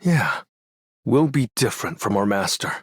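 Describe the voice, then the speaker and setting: An actor voicing a calm, thoughtful young male sorcerer with a measured, serious delivery in an anime game style. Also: fairly low pitch, breathy edge, no room sound, studio quality. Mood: gentle. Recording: loud music behind the voice.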